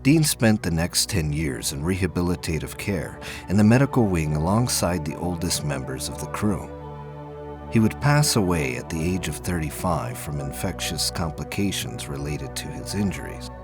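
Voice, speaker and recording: A man narrating a dramatic science fiction story in a measured, grave way. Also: a bass voice, expressive, music in the background.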